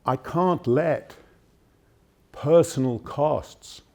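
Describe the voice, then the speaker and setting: An actor playing a troubled, dutiful middle-aged public prosecutor and father, in an intense, deliberate theatrical monologue. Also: a little high, darkish tone. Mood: resolute, burdened. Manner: intense, deliberate, with dramatic swells and drops.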